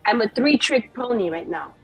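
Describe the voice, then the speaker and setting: Female tabletop player, fairly high but husky voice, casual table talk, faint music behind. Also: expressive, normal speed. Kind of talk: casual game table chat.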